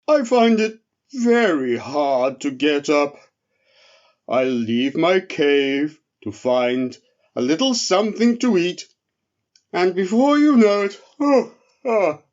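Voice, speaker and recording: A man voicing a huge, sleepy, friendly brown bear in a children's storybook, speaking with slow, drawling politeness. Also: fairly high pitch, darkish tone, muffled recording.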